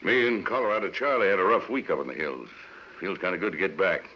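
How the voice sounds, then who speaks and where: Deep-voiced, self-assured gunfighter. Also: male voice, fast talker, slight background noise. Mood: easygoing. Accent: American Western. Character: weary, proud, self-assured frontier gunfighter, a man. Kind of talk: 1950s radio western acting. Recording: muffled 1950s radio broadcast recording.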